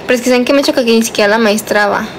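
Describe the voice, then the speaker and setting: Young woman, nineteen, casual teasing livestream chat, slightly high voice, phone held close, faint air-conditioner hum. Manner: chatty, teasing, drawn-out words. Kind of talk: casual livestream chat, reading viewer comments. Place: small dim bedroom, indoors at night. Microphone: phone held close, selfie framing.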